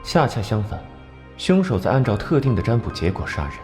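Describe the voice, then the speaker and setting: Cool, aloof genius detective over music. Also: male voice, lowish voice, very animated. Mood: grave, knowing. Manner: condescending, measured explaining. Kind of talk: Chinese animated drama acting. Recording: music behind the voice.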